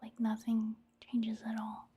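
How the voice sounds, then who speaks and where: A woman speaking in a soft, breathy near-whisper, giving gentle, rambling ASMR commentary on a video game. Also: a little high, monotone. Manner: unhurried, rambling, gentle murmur. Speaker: ASMR gaming video host.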